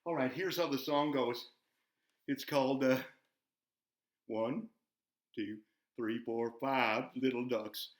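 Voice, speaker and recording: A man voicing an excitable, folksy, bumbling buzzard who hosts a children's show, rambling and repeating himself as he chats. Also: mid-range voice, takes it slowly, long pauses, echoey room, natural voice. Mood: cheerful, muddled. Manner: folksy, repetitive, easily flustered, giggly exclamations. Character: excitable, folksy, bumbling old farm buzzard puppet host.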